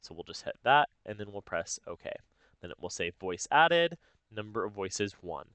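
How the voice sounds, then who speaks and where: Low, clear-voiced man giving a software tutorial. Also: sing-song, normal speed, no room sound. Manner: informal, explanatory, thinking aloud. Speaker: tutorial host. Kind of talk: casual step-by-step software tutorial narration.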